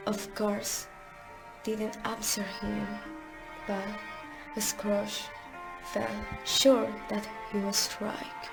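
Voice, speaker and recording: A woman narrates a scripted story at a slow pace. Her voice is mid-pitched, and music plays clearly behind her. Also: monotone.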